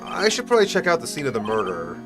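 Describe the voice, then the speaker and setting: A man's voice: fairly high pitch, wildly swooping pitch, fast, over music, pitched above the performer's own voice. A man voicing a young defense lawyer who makes dry, sarcastic asides. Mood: wry.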